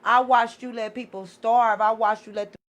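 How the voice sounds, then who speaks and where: A woman's voice: fairly high pitch, level tone, normal speed. A woman talking as a podcast guest in an impassioned, casual way, blunt, emphatic and given to swearing. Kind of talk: impassioned casual opinion talk.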